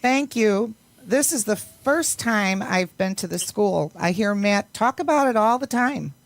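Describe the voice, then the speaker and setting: A woman's voice: mid-range voice, animated, a little slow. A woman playing a friendly, polite aunt in an amateur audio play. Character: friendly aunt, newcomer to the school.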